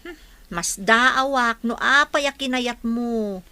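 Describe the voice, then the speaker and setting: A woman's voice: on the high side, slow pace, bright, forceful tone, no echo, full character voice. An actress playing a concerned, frank young woman in a radio drama. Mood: reproachful.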